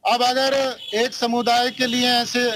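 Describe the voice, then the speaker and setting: A man speaks in an interview at a very slow pace. His voice is high for a man, his phrasing formal and halting. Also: completely flat delivery, a bit bright, a little hiss or hum. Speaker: local interviewee. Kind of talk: interview statement.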